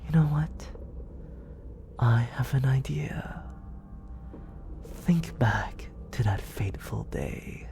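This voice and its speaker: A man voicing a scheming villain mentor in an ASMR roleplay, speaking in a slow, coaxing, hushed whisper close to a studio mic. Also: medium pitch, vocal fry. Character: soft-spoken male villain mentor, scheming. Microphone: close studio condenser.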